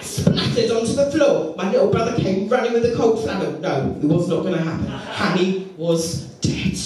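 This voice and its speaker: A woman's voice: medium pitch, moderate pace, faint music behind. A middle-aged woman doing a stand-up comedy set, telling stories and acting out other people's voices. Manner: animated storytelling with acted-out voices. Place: comedy club stage. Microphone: handheld microphone. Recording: echoey club, from far off, crowd. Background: audience laughter.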